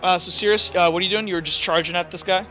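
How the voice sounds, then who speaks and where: A man's voice: high-pitched, steady tone, fast talker, bright, forceful tone, lo-fi sound. A man acting as game master in a tabletop roleplaying game, talking casually and swearing freely. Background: background music.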